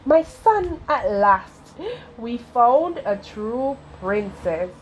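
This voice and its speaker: A woman's voice: on the high side, sing-song, very slow, voice pushed up, full character voice. A woman reading the part of a strong-willed, assured queen and mother in a children's storybook. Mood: triumphant. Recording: clearly noisy home recording.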